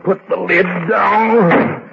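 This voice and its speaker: A man's voice: high voice, fairly even intonation, drawn-out words, vocal fry, exaggerated voice. An actor playing a terrified businessman, frantic and pleading, in a melodramatic old radio style. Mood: panicky muttering. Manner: frantic, breaking into cries. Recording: dull, noisy old radio recording.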